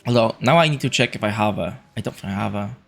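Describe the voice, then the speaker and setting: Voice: medium pitch, fast, creaky, faint music behind. A video game streamer giving casual, off-the-cuff livestream commentary, muttering reactions to the game.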